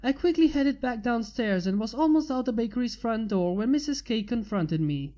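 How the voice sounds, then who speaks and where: A woman's voice: a little high, expressive, moderate pace, warm tone. A woman reading a scripted story aloud as its narrator. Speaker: fan fiction reader. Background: music.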